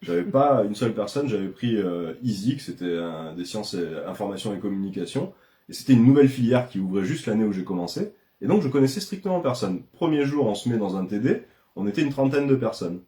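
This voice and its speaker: A man's voice: fairly low pitch, animated, dark tone, echoey room. A man telling a personal story in casual conversation. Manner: fast, storytelling with quoted speech.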